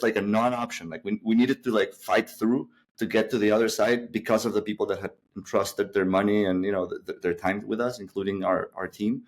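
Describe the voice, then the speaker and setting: A man co-hosting a podcast, talking in a casual conversational style as he reflects and asks questions. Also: on the low side. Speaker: podcast co-host, interviewer.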